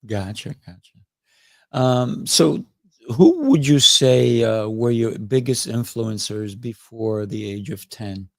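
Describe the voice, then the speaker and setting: A man's voice: medium pitch, animated, takes it slowly, vocal fry, a little mellow, no echo. A man hosting a podcast and interviewing a guest, encouraging him and murmuring "mm" as he listens. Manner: encouraging, frequent "mm" backchannels. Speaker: podcast host.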